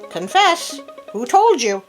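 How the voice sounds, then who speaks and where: A woman voicing a proud, quick-tempered nobleman in a storybook tale, commanding in manner. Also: on the high side, big pitch swings, soft background music. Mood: accusing, angry.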